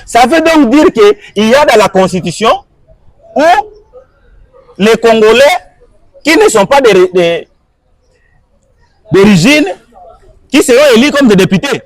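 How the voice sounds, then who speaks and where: A man's voice: very high voice, expressive, a little slow, long pauses, no room sound, rough recording. A man answering an interviewer, arguing his point with repeated rhetorical questions and switching between French and Lingala. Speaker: guest.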